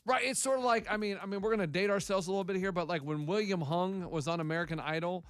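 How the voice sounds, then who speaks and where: A man's voice: high-pitched, fast, a bit bright, clean tone, dry recording. A man cohosting a morning radio show, provocative, arguing and interrupting the others. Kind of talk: casual morning radio banter. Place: radio studio.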